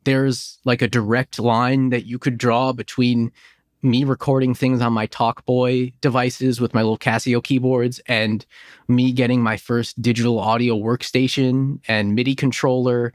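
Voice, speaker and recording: A man's voice: mid-range voice, level tone, measured pace, no echo, studio quality. A man in his thirties, a podcast host, chatting casually and nostalgically in an enthusiastic, rambling way. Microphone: close studio microphone in home office.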